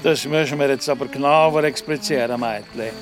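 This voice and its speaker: An actor playing an amused, mocking monk with a teasing, pompous manner in a children's audio drama. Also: male voice, medium pitch, moderate pace, music in the background, close to an everyday voice.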